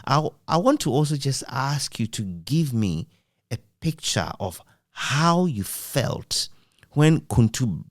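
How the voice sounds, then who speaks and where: A man conducting a reflective interview, phrasing his questions in a measured, deliberate way. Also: on the low side, a little mellow, no echo, clean studio sound. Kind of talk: reflective radio-history interview.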